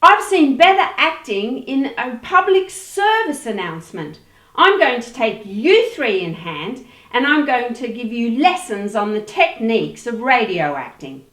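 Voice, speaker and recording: A woman acts a domineering radio producer in a comedy play. She is high-pitched and slow, with lively swoops of scorn, in an echoing room. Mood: scornful. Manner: domineering, cutting. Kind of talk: comic radio play acting.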